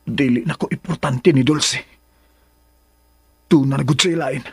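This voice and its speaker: A man's voice: mid-range voice, unhurried, husky, no room sound. A man playing a troubled, brooding lover in a radio drama. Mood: brooding, resentful. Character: troubled man torn between bride and former lover.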